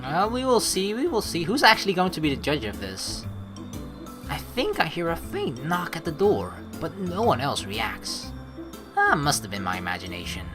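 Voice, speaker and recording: Animated, low-pitched woman gamer. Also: moderate pace. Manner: animated, rambling, casually sweary. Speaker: let's play host. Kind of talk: game playthrough commentary, reading text aloud. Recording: game music behind the voice.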